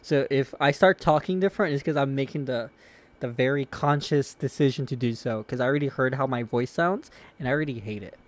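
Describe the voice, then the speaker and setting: A man's voice: medium pitch, fairly quick. A young man casually rambling and chatting to viewers while streaming a game from his bedroom, self-deprecating and talkative.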